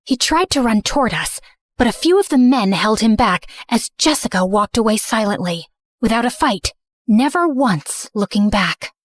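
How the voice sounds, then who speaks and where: A woman acting as a guilt-ridden teenage girl telling her own story. The voice is fairly high and very dry, with a confessional, dramatic first-person narration. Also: expressive, moderate pace, no room sound. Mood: hurt, resolute. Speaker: narrator and main character.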